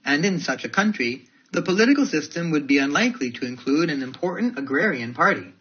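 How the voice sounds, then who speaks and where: Husky man with lively, swooping intonation. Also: fairly high pitch, fairly quick. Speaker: reader of English test practice passages. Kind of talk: read-aloud of academic texts. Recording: dull lo-fi audio.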